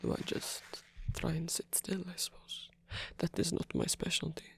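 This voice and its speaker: Casting: performer acting a bashful, flirty male rock frontman for romance ASMR, very husky and half-whispered, halting, tender. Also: mid-range voice, big pitch swings, exaggerated voice. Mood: hesitant, soft. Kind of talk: intimate ASMR romance roleplay.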